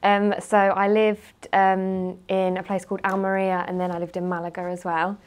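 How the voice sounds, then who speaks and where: A woman's voice: medium pitch, flat delivery, moderate pace, smooth. A woman in her twenties with a British accent, speaking informally and telling anecdotes as a guest in a casual interview. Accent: British English. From grew up partly in Spain, lives in the UK. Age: 20s. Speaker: interview guest, marketing manager.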